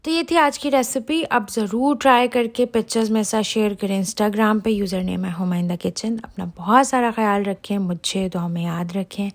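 A woman talks through a recipe as a home cooking host. Her pitch sits in the middle for a woman, and she is chatty and casual, speaking directly to her viewers as she explains each step. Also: moderate pace, few pauses. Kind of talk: casual recipe voice-over tutorial.

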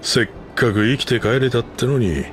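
A man voicing a gruff, grumbling tavern customer trading rumours in a video game. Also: medium pitch, normal speed, soft background music, natural voice. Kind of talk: video game cutscene acting.

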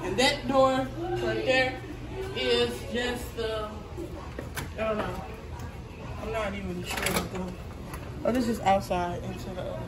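A woman's voice: mid-range voice, steady tone, a little slow, pauses often, distant mic. A young woman giving a casual house tour to viewers, chatty and often repeating her phrases, recorded on a handheld phone while walking indoors. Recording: roomy, noisy, voice off from mic.

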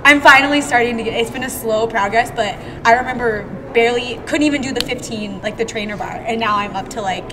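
A young woman, a gym coach, chatting casually in an interview, animated and full of "like" fillers. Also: on the high side, fast, hardly any pauses, noisy background. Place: gym room, sitting on the floor.

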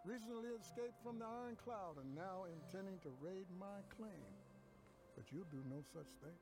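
A man's voice: high-pitched, moderate pace, over music. An actor playing a proud, folksy old frontiersman in a sci-fi game cutscene, stern and defiant. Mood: stern defiance. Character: crusty, defiant old frontiersman guarding his claim.

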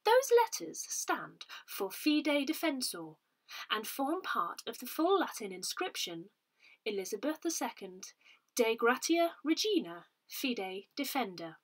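Woman narrator, slightly breathy husky tone, lively intonation, measured newsletter reading. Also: on the high side, poor audio. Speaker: volunteer reader of a parish newsletter. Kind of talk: read-aloud newsletter narration.

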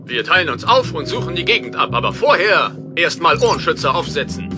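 A man's voice: fairly high pitch, sing-song, a little fast. A man playing a brave, decisive polar bear sea captain in a children's cartoon radio play. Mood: commanding. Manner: commanding, decisive. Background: loud music.

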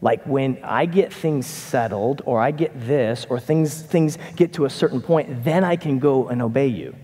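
A middle-aged man preaching a conversational sermon from a church stage, animated and casual. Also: medium pitch, moderate pace, breathy edge. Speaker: pastor. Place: church stage in a large hall. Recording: reverberant hall.

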